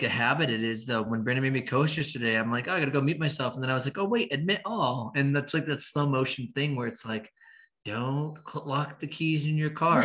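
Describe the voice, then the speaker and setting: A man's voice: medium pitch, pushed and bright. A man from Massachusetts chatting casually on a video call, joking and poking fun at himself. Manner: joking, self-mocking.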